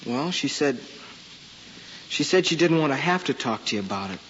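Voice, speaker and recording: A man's voice: medium pitch, animated, normal speed, a bit bright, a little hiss or hum, close to an everyday voice. A young male actor playing an earnest, dreamy American schoolboy, polite and hesitant, in old-time radio drama style. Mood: hesitant, hopeful. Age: child or young teen. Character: earnest, dreamy schoolboy, devoted son of a policeman. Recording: dull, echoey vintage radio recording with music.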